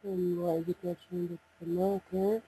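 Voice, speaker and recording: A man with a slightly husky voice giving an unscripted software walkthrough, hesitant and thinking aloud as he goes. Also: sits high, flat delivery, moderate pace, slight background noise, low-quality recording. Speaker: software demonstrator. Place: voice-over on a screen recording.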